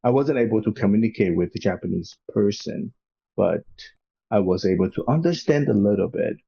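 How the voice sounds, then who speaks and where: A man's voice: lowish voice, expressive, fairly quick, vocal fry, mellow, slightly rough, muffled recording. A man co-hosting a podcast, chatting casually, often restarting and repeating words as he goes. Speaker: podcast co-host. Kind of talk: casual conversation.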